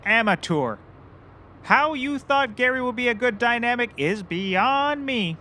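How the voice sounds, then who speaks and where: An actor playing a hapless, self-important and childish private eye in a scripted comedy audio show, earnest, excitable and oblivious. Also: male voice, very high-pitched, measured pace, a little hiss or hum, heavily acted. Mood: petulant.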